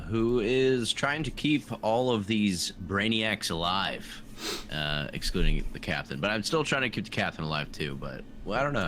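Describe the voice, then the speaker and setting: A man's voice: low-pitched, sharp tone. A man chatting casually and wryly as a player on a tabletop game show. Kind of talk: casual chat. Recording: faint background noise.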